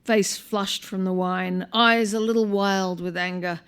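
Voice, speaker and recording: Expressive Australian woman reading a story. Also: medium pitch, measured pace, clear, resonant tone. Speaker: actor reading a short story. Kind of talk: live literary story reading. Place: live on stage at a small venue.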